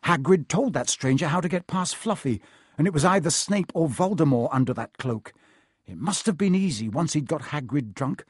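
A man's voice: fairly high pitch, expressive, moderate pace, slightly rough, no room sound, clean studio sound. A man voicing an earnest schoolboy in a storybook reading, anxious, urgent and insistent.

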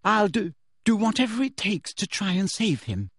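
A man's voice: high voice, sing-song, normal speed, a bit bright, slightly rough, dry recording, clean studio sound, voice pushed up, heavily acted. A man voicing an earnest, bossy teenage witch girl in an audiobook, with an urgent, anxious manner. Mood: urgent, pleading. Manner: urgent, pleading, fierce. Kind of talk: audiobook character voice.

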